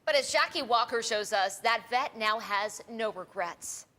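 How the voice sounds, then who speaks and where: A woman anchoring a television news special, reading scripted lines in a crisp, polished way. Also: fairly high pitch, unhurried, a bit bright, soft background music.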